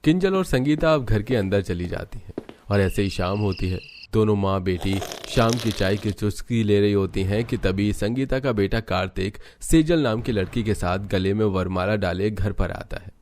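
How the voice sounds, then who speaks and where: A man's voice: lowish voice, moderate pace, darkish tone, slight background noise. A man reading scripted narration for a family story as its narrator.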